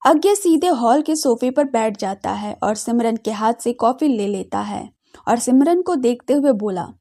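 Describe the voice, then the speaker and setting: A woman narrating a scripted audio drama with expressive storytelling. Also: fairly high pitch, measured pace.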